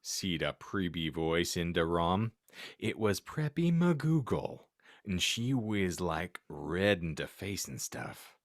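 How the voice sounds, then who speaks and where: A male podcast host giving a theatrical, mock-serious comedic reading of fan fiction with deliberate delivery. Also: low-pitched, very animated, dry recording, clean studio sound.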